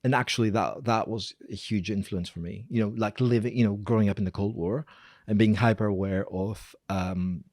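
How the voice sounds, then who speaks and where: Low-voiced man in his forties. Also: steady tone, moderate pace, darkish tone, no room sound, studio quality. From Greece. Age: 40s. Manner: informal, digressive, emphatic repetition. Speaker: university professor, interview guest. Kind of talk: casual conversational interview.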